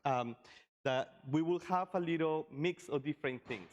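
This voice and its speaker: A man's voice: a little high, normal speed, highs cut off. A man from Costa Rica giving an informal presentation, speaking in a loose, somewhat hesitant way. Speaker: session presenter, migration researcher.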